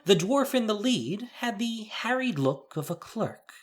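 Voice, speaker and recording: A narrator of medium register giving an expressive, dramatic scripted reading of fiction. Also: mid-range voice, unhurried, faint music behind.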